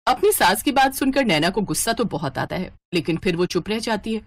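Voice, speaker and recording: A woman's voice: mid-range voice, expressive, fairly quick. A woman narrating a scripted domestic story as a storyteller.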